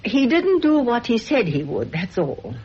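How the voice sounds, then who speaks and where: A meek, soft-spoken spinster teacher in an old radio play, with a slightly breathy voice that rises and falls a great deal. The sound is dull and muffled, as on an old recording. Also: female voice, fairly high pitch, wildly swooping pitch, unhurried, heavily acted. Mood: resigned. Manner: gentle, apologetic, hesitant. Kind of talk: radio drama acting.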